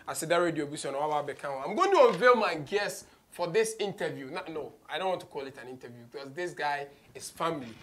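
Male Ghanaian interviewer, thirties, slightly high pitch, animated delivery, code-switching conversational style. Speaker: show host. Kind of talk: conversational radio interview, mixing English and Twi. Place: small office studio, indoors.

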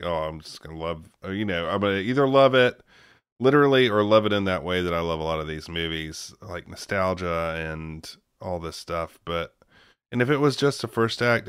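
A man with a very low, smooth, resonant voice hosts a casual film podcast. He steers the talk and hands over to each guest, recorded close and dry. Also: a bit bright, dry recording. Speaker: podcast host. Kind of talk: casual film review chat.

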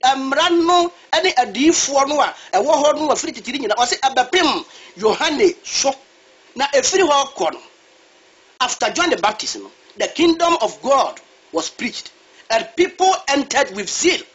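A man's voice: very high voice, animated, normal speed, fairly bright tone. A man preaching a sermon who calls on a reader for verses, speaking. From Ghana. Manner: switches between Twi and English, asks a reader to read verses. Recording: dull lo-fi recording.

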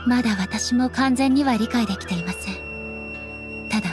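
A woman voicing a calm, earnest deity ruler in an anime game, speaking formally and politely with composure. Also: fairly high pitch, unhurried, over music, natural voice. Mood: solemn, reflective. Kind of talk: Japanese anime game voice acting.

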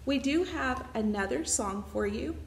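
Unhurried, higher-pitched woman reading to children. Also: creaky, a little hiss or hum. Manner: expressive, addressing young children. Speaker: library storytime reader. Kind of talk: children's picture book read-aloud.

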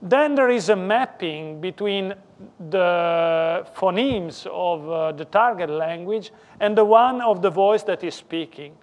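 A man with a strong Italian accent gives a technical lecture in English. He talks in a fairly high voice, stops often, and corrects his words as he goes. He is speaking in a lecture room. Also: lively intonation. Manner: halting, self-correcting non-native English. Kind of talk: technical conference talk.

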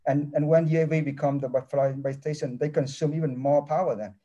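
Halting, mellow-voiced professor on a video call. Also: male voice, a little high, fairly even intonation, muffled recording. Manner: halting non-native English with many restarts. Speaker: engineering professor. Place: office with large window. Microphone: laptop webcam mic on a video call.

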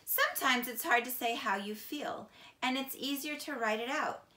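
A middle-aged woman reading a children's picture book aloud in a deliberate, expressive teacher's manner. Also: high voice. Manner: slow, deliberate, expressive storytelling. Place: small indoor room, seated on couch. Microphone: camera a few feet away. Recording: echoey room.

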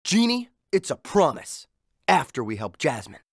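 Earnest, lively young adventurer. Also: male voice, fairly high pitch, normal speed, no echo, clean studio sound. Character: earnest, good-hearted young male street adventurer. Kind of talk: animated game hero acting.

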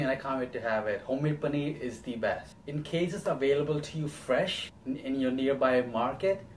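A man's voice: mid-range voice, a little mellow, slight background noise. A young man giving a casual, step-by-step cooking demonstration as host in a small home kitchen. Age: young adult. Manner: explains step by step.

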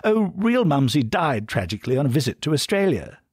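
A man's voice: fairly high pitch, big pitch swings, a little fast, slightly rough, dry recording, clean studio sound, pitched above the performer's own voice, full character voice. A man voicing a polite, slightly hesitant big brother with an upper-class British accent in a storybook. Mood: polite, proper. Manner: polite, hesitant, old-fashioned diction.

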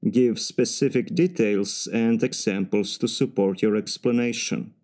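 A man with a Serbian accent giving formal, measured practice answers for a timed speaking exam. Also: fairly low pitch, steady tone, darkish tone. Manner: formal, measured, slightly stiff phrasing. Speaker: mechanical engineer practicing test answers.

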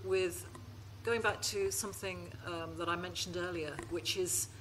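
A woman's voice: fairly low pitch, steady tone, unhurried, a little hiss or hum, rough recording. A woman hosting a literary panel on stage, hesitant and full of ums as she thanks the writers and poses questions. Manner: warm-hearted, hesitant, with many ums. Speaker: host and panel moderator. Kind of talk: moderating a live literary panel. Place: festival stage before a live audience.

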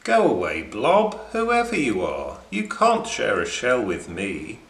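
A man's voice: medium pitch, wildly swooping pitch, slow pace, creaky, a bit bright, heavily acted. Bossy, grumbling little crab read by a man. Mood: indignant. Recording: roomy indoor echo.

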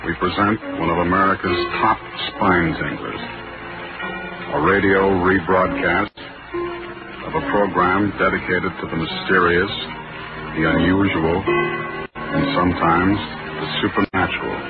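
A man's voice: sits low, fairly even intonation, a little slow. A man giving a formal, portentous announcement to open a 1940s radio program. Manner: portentous, drawn out. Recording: muffled old radio recording over music.

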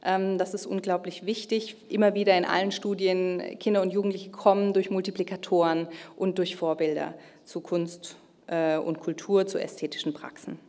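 A middle-aged woman gives a formal keynote lecture with measured, emphatic phrasing. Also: on the low side, flat delivery, dark tone. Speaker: keynote speaker. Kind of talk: formal conference lecture, partly read from notes. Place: large indoor auditorium stage at a lectern. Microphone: gooseneck lectern microphone. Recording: reverberant hall sound.

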